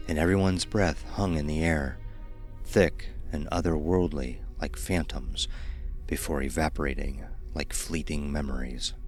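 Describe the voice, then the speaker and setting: Very deep male horror narrator. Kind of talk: scripted narration of a horror story.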